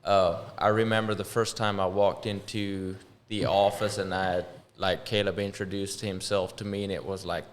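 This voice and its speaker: Low-voiced man, casual talk. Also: flat delivery, moderate pace, few pauses. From Arkansas, USA. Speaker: shed company co-owner, interview guest. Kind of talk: casual conversational explaining.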